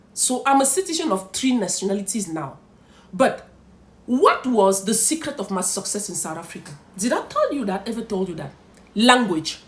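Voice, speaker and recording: A middle-aged woman from Cameroon whose first language is French, giving emphatic immigration advice to viewers and posing rhetorical questions. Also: mid-range voice. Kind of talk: direct-to-camera advice talk.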